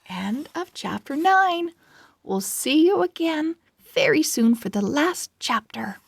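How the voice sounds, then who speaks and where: A woman reading a children's storybook aloud as host, with deliberate, expressive phrasing for young readers. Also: high-pitched, gravelly, no echo. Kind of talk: slow read-along storybook narration.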